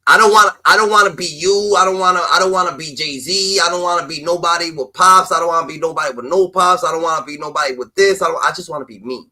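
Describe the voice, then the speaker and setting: A man's voice: fairly high pitch, quick pace, hardly any pauses, sharp tone. A man in his twenties in casual livestream chat, talking emphatically and preaching, repeating catchphrases. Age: 20s.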